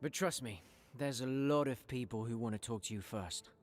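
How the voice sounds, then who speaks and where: A man's voice: mid-range voice, moderate pace, faint music behind, close to an everyday voice. An actor playing a plain-spoken, earnest young male adventurer in a fantasy video game. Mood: reassuring. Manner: earnest, animated.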